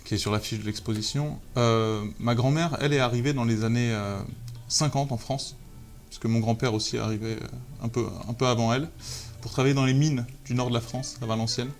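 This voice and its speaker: A man in his thirties from Algeria, a photographer guest telling his story in a relaxed interview, hesitant with many fillers and restarts. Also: medium pitch, fairly quick, soft background music. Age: 30s. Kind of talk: casual interview talk.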